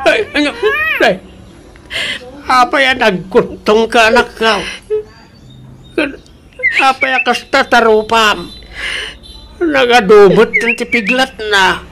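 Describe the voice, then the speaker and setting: A man's voice: extremely high pitch, slow pace, pauses often, pushed and bright, a little hiss or hum, exaggerated voice. A man playing an older, protective village father in a comedic radio drama, exclamatory, with an Ilocano accent. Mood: shocked, upset.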